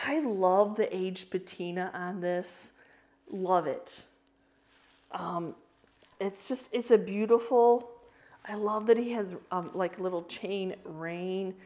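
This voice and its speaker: Chatty woman showing her finds. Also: fairly low pitch. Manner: chatty, rambling, with frequent fillers and laughs. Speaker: reseller showing auction finds. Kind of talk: casual vlog show-and-tell.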